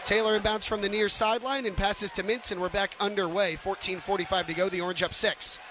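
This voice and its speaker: A man's voice: high-pitched, fairly even intonation, brisk. A man calling live basketball play-by-play for radio with a projected, clipped delivery, arena noise behind him. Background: basketball arena ambience.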